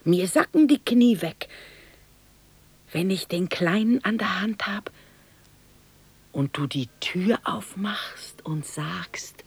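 An actress playing an aging, homesick widowed mother from Bohemia in a 1960s German radio drama monologue, reminiscing wistfully and anxiously in hushed, halting fragments. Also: medium pitch, animated, full character voice. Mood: anxious, tender. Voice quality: husky, breathy, creaky.

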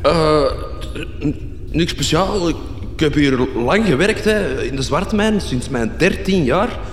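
A man's voice: on the high side, animated, slow. A man with a Flemish accent playing a shifty, evasive mine worker in a radio comedy drama, speaking hesitantly with stammers. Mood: nervous, evasive. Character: shifty, evasive old-time mine worker guiding strangers. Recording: echoey hall, faint noise.

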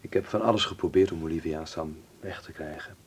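A man's voice: low-pitched, quick pace, slight background noise. An actor playing a troubled, understated man in a wartime Dutch radio drama, with a Netherlands Dutch accent. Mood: troubled, reluctant. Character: anxious, troubled man protecting his wartime friends.

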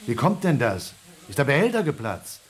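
A man's voice: mid-range voice, very animated, normal speed, close to an everyday voice. An actor playing an alarmed space crewman. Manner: urgent, pressing questions, agitated. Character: anxious male space crewman short of air. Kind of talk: radio play acting.

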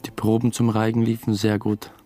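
A man's voice: lowish voice, monotone, brisk, dark tone, soft background music, natural voice. An actor voicing a brooding, jealous theatre man in a radio drama, narrating intimately and dryly with an Austrian accent. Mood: brooding, wistful.

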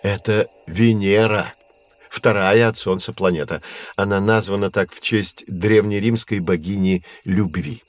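Actor as a low-voiced patient astronomy professor. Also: male voice, fairly even intonation, no echo, lightly acted. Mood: instructive. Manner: explanatory, measured, didactic. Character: male astronomy professor, patient, knowledgeable teacher of curious children. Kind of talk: scripted educational audio drama.

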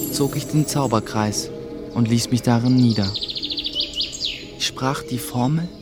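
An earnest young apprentice played by a man narrates and acts in a radio play. His voice sits at medium pitch, measured and reflective, over clearly audible music. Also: close to an everyday voice. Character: earnest young male apprentice telling his own story.

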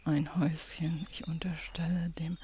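Woman doing sound poetry, kinda low and unhurried, loops her words, noisy background. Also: level tone, dark tone. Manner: repeats and varies phrases. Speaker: poet. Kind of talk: improvised spoken-word sound poetry. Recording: clearly noisy field recording.

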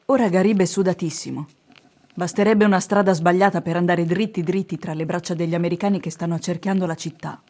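A woman acting a measured war-story narrator. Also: lowish voice, steady tone, warm tone. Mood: tense narrating. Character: female narrator of a tense war reportage. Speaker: voice actor reciting. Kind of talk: dramatic scripted radio narration.

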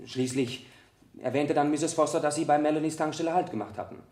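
A man acting a young male student in the witness stand of a radio play. His voice is fairly high and he talks haltingly, restarting phrases while earnestly standing by his account. The sound is very roomy. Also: darkish tone, close to an everyday voice. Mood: hesitant, earnest. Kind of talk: radio play courtroom dialogue. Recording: roomy 1970 radio drama studio.

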